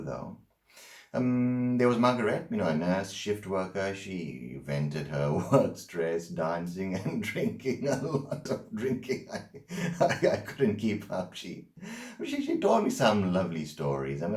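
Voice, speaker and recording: Casting: male actor for unsettling, stammering male confessor in a dramatic monologue, low-voiced, recorded in an echoing room. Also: a little mellow. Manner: halting, stammering, intense storytelling. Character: Brocky, unsettling haunted man confessing his troubled loves.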